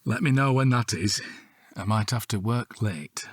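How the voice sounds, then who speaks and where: A man playing a grumpy, dry-witted middle-aged British police detective in an audio drama, deadpan and sardonic. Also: lowish voice, measured pace, slightly rough, dry recording. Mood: reluctant, dry.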